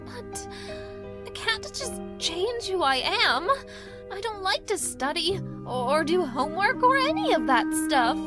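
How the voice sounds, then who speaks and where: A childish, whiny schoolgirl heroine from a cartoon parody. Her voice is extremely high and animated, with an unhurried delivery. She sounds ditzy and dramatic. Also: heavily acted. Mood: whiny, sulky. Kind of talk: parody anime audio drama. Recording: music behind.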